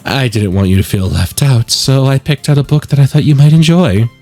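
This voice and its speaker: A man's voice: mid-range voice, lively intonation, a little fast, a little mellow, soft background music, close to an everyday voice. A man voicing a shy, flustered, bookish teenage schoolgirl who speaks hesitantly in a visual novel. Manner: hesitant, trailing off. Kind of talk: visual novel character read-aloud.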